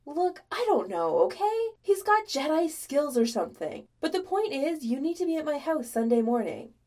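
A woman's voice: high voice, big pitch swings, moderate pace, dark tone, pitched above the performer's own voice. A woman voicing a frantic, sarcastic young man in an audiobook-style story, rambling and exasperated. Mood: agitated demanding.

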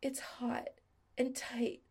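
A woman's voice: high-pitched, monotone, drawn-out words, a little mellow, husky, voice pushed up, full character voice. A woman voicing a needy young man who pleads in a breathless, whispery voice. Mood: needy, pleading.

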